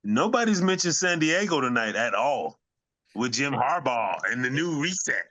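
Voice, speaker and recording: A man's voice: medium pitch, very animated, moderate pace, sharp tone, no echo. A man co-hosting a sports show with casual banter and questions.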